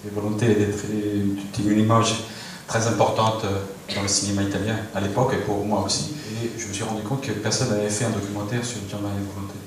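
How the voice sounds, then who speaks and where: A screenwriter from Italy, speaking hesitantly with many fillers. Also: male voice, lowish voice, level tone, quick pace, a little hiss or hum. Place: cinema hall. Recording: echoey hall, distant mic, ambience.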